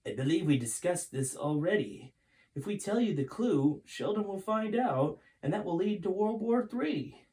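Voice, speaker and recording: A man voicing a courteous, frank and cautious older man in a storybook, with emphatic, measured delivery. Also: sits high, lively intonation, darkish tone, pitched above the performer's own voice. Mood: firm, reluctant.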